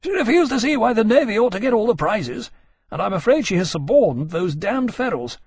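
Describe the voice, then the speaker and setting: A man's voice: high voice, big pitch swings, fairly quick, husky, dry recording, voice pushed up, heavily acted. A man voicing a harried military captain, frank, rueful and easily embarrassed, speaking emphatically in an audiobook story.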